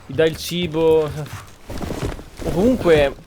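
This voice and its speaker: A man's voice: a little high, measured pace, noisy background. An Italian man giving casual let's play commentary, thinking aloud informally. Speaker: gamer commentator. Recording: game music behind the voice.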